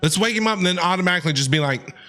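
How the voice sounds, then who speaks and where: A man's voice: high-pitched, expressive, racing, sharp tone, soft background music. A man casually reacting to a game as a streamer, chatty and jokey. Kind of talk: casual live reaction commentary.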